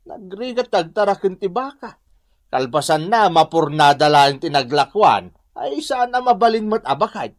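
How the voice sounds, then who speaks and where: Sly, animated Ilocano husband. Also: male voice, sits high, moderate pace. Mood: wheedling, scheming. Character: sly, boastful philandering husband and cattle farmer. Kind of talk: Ilocano radio drama acting.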